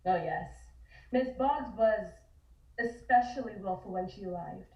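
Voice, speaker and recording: A woman playing a courteous, guarded sanitarium orderly in a script table read, with a measured, hesitant delivery. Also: medium pitch, dark tone, natural voice. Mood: guarded, polite. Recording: echoey, muffled, distant call audio.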